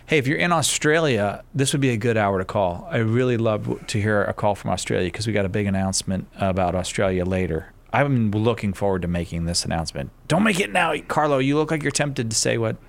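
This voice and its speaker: A man's voice: on the low side, animated, a little fast, creaky, hardly any pauses. A man hosting a call-in radio show, reading the phone number and joking with his guest. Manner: friendly, joking, promotional. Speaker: radio host.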